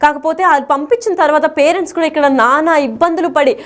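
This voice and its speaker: Fast, high-pitched woman news presenter. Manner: explanatory, wordy, mixes in English terms. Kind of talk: news explainer commentary, partly unscripted.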